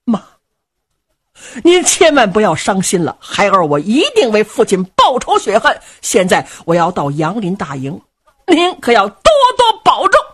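A woman's voice: medium pitch, wildly swooping pitch, a little slow, breathy edge, pitched above the performer's own voice, full character voice. A woman playing a dutiful young warrior. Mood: resolute. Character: dutiful heroic young warrior son, steady.

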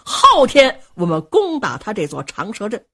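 A woman storyteller voicing a young, cocky male commander who coaxes and teases his elders in quick, bright speech. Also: medium pitch, huge pitch swings, husky, full character voice. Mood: commanding. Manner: teasing, coaxing, quick and bright. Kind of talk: traditional Chinese storytelling performance.

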